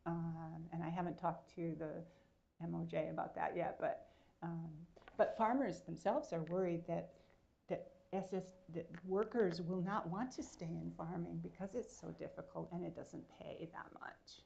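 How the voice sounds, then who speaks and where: A woman's voice: on the low side, fairly even intonation, normal speed, gravelly, dark tone. A woman researcher answering audience questions at a seminar, explaining at length and checking in with her listeners. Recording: dull lo-fi sound.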